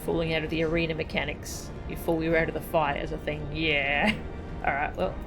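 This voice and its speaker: A woman's voice: low-pitched, level tone, fairly quick, creaky, low-quality recording. A woman streaming a video game, chatting casually, reading game text aloud and laughing often. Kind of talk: casual gameplay commentary. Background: game music.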